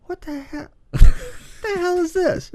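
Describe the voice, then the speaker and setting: A middle-aged man telling a story in casual conversation, dramatic and halting, repeating phrases for emphasis. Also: very high voice, darkish tone, poor audio. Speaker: podcast co-host telling anecdotes.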